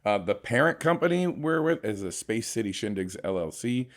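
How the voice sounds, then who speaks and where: A man guest on a radio talk show, an entertainer and MC, telling lively stories and slipping into voices. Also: mid-range voice, moderate pace. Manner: animated storyteller, breaks into voices. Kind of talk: casual radio interview.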